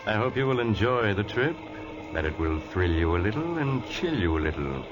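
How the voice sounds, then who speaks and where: An ominous, urgent narrator of strange tales in an old radio drama, deep voice, organ music under. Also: male voice, big pitch swings, normal speed. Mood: sinister invitation. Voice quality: unusual timbre. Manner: urgent, teasing, ominous invitation. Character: sinister male traveler narrating eerie tales. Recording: dull lo-fi 1950s radio recording.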